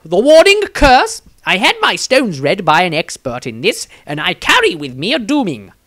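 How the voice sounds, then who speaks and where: A nervous little wizard from a fantasy storybook, played by a man reading aloud. His pitch sits high and wavers up and down as he pleads hesitantly, recorded dry. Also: wildly swooping pitch, moderate pace, sharp tone, no echo, heavily acted. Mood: nervous, pleading. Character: timid, nervous male wizard afraid of women.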